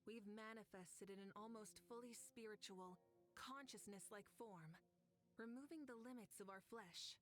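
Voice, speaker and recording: A woman playing a composed, gracious and confident warrior leader in a video game, speaking in a measured way. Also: medium pitch, a bit bright, close to an everyday voice. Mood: resolute, confident. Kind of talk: video game character acting. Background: background music.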